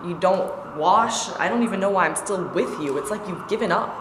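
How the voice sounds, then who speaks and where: A woman reads a boxer's wife who scolds and frets, worn down and sharp-tongued. Her lines ring hard off the bare walls of a very echoey rehearsal room, with steady room noise behind. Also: on the low side, a little fast, natural voice. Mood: bitter. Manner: anxious and scolding. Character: worried, nagging young boxer's wife. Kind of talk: staged play reading. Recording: very echoey studio room from a camera.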